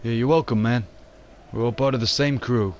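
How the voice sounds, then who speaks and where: A man's voice: medium pitch, steady tone, moderate pace, a little mellow, a little hiss or hum, natural voice. A man voicing an easygoing, protective ship's cook with a casual, friendly manner in an amateur motion comic.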